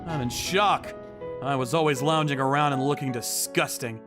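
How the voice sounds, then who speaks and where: A man narrating a manga dub story in the first person with earnest, expressive delivery. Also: mid-range voice, normal speed, music in the background. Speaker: narrator and voice actor.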